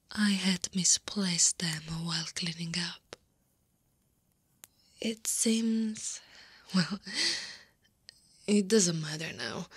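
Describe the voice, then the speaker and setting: Woman voicing a slow, breathy, flirty slime monster woman. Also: on the low side, no room sound, full character voice. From Italy. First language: Italian. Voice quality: breathy, often half-whispered. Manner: slow, playful, teasing. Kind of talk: intimate ASMR roleplay. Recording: clear background ambience noise.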